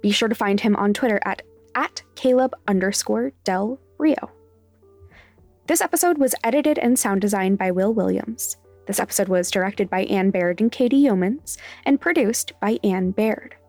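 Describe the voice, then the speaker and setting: A woman's voice: medium pitch, expressive, normal speed, a little mellow, dry recording. A woman reading scripted end credits as the creator of a podcast. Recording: dry studio voice over music. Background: faint music.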